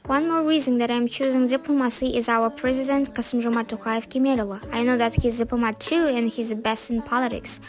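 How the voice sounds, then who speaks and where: Kazakh teen girl, school speech, slightly high voice, earnest and recited. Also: steady tone, quick pace, few pauses, a little mellow. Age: teenager. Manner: earnest, recited, occasionally mimics others. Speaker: school student presenter.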